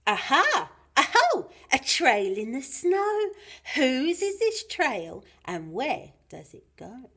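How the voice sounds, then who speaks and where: A woman's voice: high voice, wildly swooping pitch, slow, sharp tone, pitched above the performer's own voice, full character voice. A woman voicing a curious, bold young monster girl in a children's storybook, exclaiming and questioning in sing-song bursts. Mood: excited. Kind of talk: children's storybook character reading.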